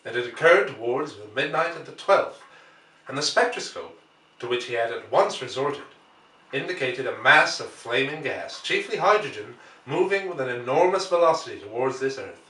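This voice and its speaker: Bright-voiced man reading aloud, echoey and distant. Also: mid-range voice, animated, moderate pace. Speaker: audiobook reader. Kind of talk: dramatic literary narration, reading a classic novel aloud. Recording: roomy, echoey, distant from mic.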